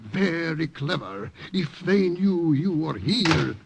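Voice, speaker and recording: Jittery old italian man, croaky voice, radio drama, noisy old recording. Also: fairly high pitch, expressive, slow pace, exaggerated voice. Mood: uneasy, insistent. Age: older. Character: fearful, wary old Italian partisan host. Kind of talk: 1940s-50s radio drama acting.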